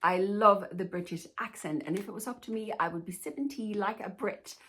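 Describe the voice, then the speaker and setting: A woman's voice: medium pitch, brisk, a bit bright. A Danish-born woman, a fashion stylist answering viewer questions in a chatty, reassuring way. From Denmark. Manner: chatty and reassuring, often says "to be honest with you". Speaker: plus-size fashion stylist and YouTuber. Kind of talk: casual Q&A vlog chat.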